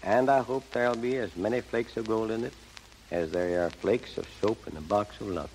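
Older folksy man, deepish voice, echoing hall. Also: normal speed, darkish tone. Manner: folksy, plainspoken. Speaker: gold prospector guest. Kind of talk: folksy scripted interview on 1930s radio. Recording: noisy, echoey 1930s radio broadcast.

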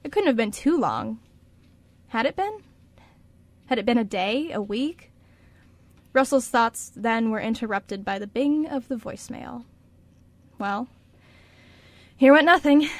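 A woman's voice: fairly high pitch, animated, a little slow, pauses often, no room sound. A woman reading scripted narration for a radio drama.

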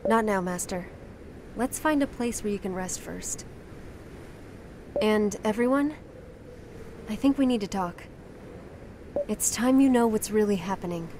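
A woman's voice: mid-range voice, measured pace, pauses often, darkish tone, natural voice. Woman acting a resolute young heroine, noisy backdrop. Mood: gentle authority. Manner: earnest, composed, firm. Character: resolute, principled young heroine, calm and earnest. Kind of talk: dramatic fantasy game voice acting.

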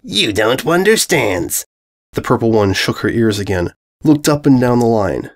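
A man's voice: fairly low pitch, animated, normal speed, a little mellow. A man reading scripted comic fiction as narrator.

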